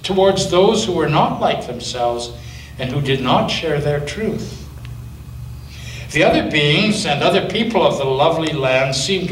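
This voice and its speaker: Expressive male pastor reading a story. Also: a little high, a little hiss or hum. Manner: expressive storytelling with slow emphasis. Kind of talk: reading a children's story aloud. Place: church. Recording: echoey church from a distance.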